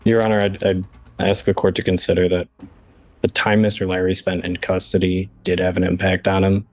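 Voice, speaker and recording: A man pleading in court as a defense attorney, halting and deferential. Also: deep voice, monotone, dry recording. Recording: dull, lo-fi courtroom audio.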